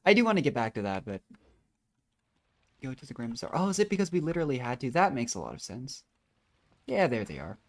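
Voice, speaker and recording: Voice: mid-range voice, big pitch swings, fast talker, pauses often. A game streamer giving casual live commentary, musing aloud and trailing off mid-thought. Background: game music.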